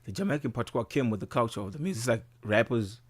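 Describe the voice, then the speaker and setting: A man's voice: mid-range voice, animated, fast, husky. A man talking casually in an interview as a musician, laid-back, full of slang and fillers. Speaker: guest musician.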